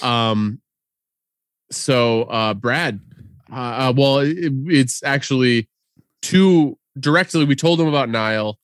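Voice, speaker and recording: A man co-hosting a podcast in casual chat, halting and laughing easily. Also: mid-range voice, clean tone, no room sound. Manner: halting, many fillers and restarts, laughs easily. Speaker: podcast co-host.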